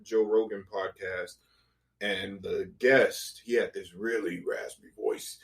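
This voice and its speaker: Animated man telling a story off-mic. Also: low-pitched, takes it slowly. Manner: animated, acts out his own reactions, swears freely. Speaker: podcast guest. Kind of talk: casual storytelling chat. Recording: voice a bit distant from the mic.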